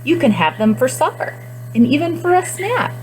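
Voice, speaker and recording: Miss Rabbit, lively helper voiced by a woman. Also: high-pitched, moderate pace, faint music behind, pitched above the performer's own voice, low-quality recording. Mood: encouraging, instructive. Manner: instructive, encouraging, expressive. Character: Miss Rabbit, helpful, encouraging female rabbit teacher.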